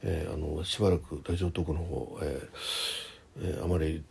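A man talks alone as the host of a casual radio-style show. His voice is low and very husky, with a gravelly creak running through it. He speaks conversationally and hesitantly, dropping in small asides of agreement with himself. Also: steady tone, normal speed. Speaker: host of a personal audio talk show. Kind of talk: casual solo radio chat, reading listener messages.

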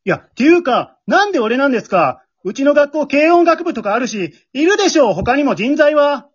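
An amateur radio-drama performer playing a bewildered, blunt male high school student who gives incredulous, deadpan retorts as the straight man. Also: fairly quick, lo-fi sound. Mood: startled, baffled.

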